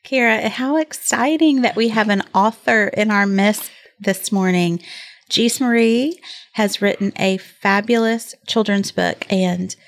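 A woman co-hosting a casual podcast who asks the guest questions eagerly and reacts with excitement. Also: mid-range voice, unhurried. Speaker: podcast co-host.

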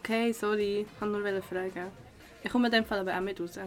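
A woman's voice: medium pitch, fairly even intonation, brisk, faint music behind, close to an everyday voice. A woman playing a cautious but curious young party guest in a contemporary radio play. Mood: hesitant.

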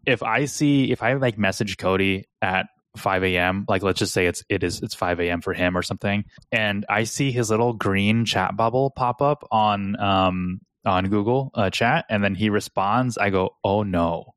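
Co-host, American man with a very low voice, dry close sound, easygoing and laughing. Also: moderate pace, few pauses, a bit bright, dry recording. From central United States. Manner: chatty, laughs easily. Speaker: podcast co-host. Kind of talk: casual podcast conversation.

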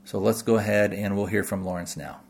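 A man's voice: fairly low pitch, steady tone, fairly quick, creaky, breathy edge. An American man hosting a podcast, talking off the cuff to listeners in a rambling, tentative way as he thinks aloud. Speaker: podcast host. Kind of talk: unscripted talk to listeners.

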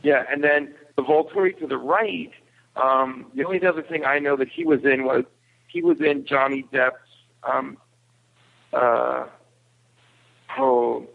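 Male guest, creaky mid-range voice, hesitant casual delivery, narrow telephone sound. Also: medium pitch, fairly even intonation, a little slow, pauses often. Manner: hesitant, searching for words. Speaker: podcast guest. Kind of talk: casual chat, film review. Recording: tinny phone-like line.

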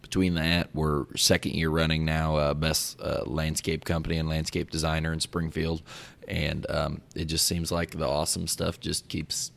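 Very deep raspy-voiced man. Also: little pitch movement, moderate pace. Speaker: guest, lawn care business owner. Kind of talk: casual podcast chat.

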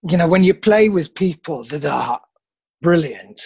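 A middle-aged film actor with a husky voice, answering interview questions in a self-deprecating, halting way. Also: male voice, fairly high pitch, lively intonation, darkish tone, no echo, muffled recording.

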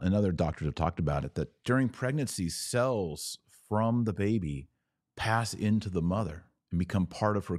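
A man with a low voice hosts a religious podcast in an informal, emphatic way. His pitch moves in lively swings as he stresses and repeats key phrases. The sound is close and dry. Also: no echo.

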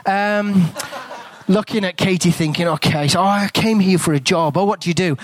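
A man's voice: sits high, normal speed. A man with an English accent preaching as a guest speaker, telling a story in a chatty, conversational way. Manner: conversational storytelling, drops to a hushed whisper in prayer. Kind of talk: sermon with a told story.

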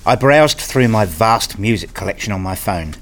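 A man playing an earnest, pedantic husband and father who narrates his day in a radio play, with a deliberate, fussy manner. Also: lowish voice, expressive, lightly acted. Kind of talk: radio play dialogue and first-person narration.

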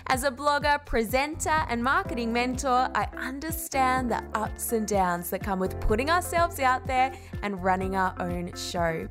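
Upbeat woman podcast host with a slightly high voice over faint music. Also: animated, normal speed, few pauses. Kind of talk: scripted podcast intro then interview.

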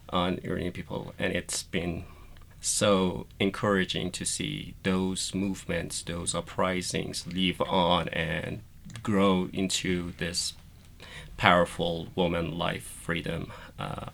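A man from Iran answers an interviewer earnestly in a deep, strongly gravelly voice. Faint background noise runs under his speech, and he leans on fillers as he talks. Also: measured pace, fairly bright tone. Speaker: guest.

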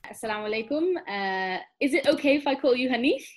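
A woman's voice: sits high, animated, fast, over music. A woman hosting a podcast, speaking in a conversational interview style. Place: remote online call.

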